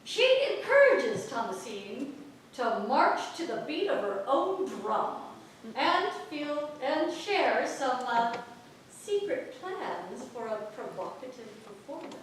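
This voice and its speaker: Woman narrating a play story, lively slow delivery, far from the mic in a big echoey room. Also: sits high. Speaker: actor reading a play synopsis aloud. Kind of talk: theatrical scripted narration. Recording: echoey hall from far off, faint noise.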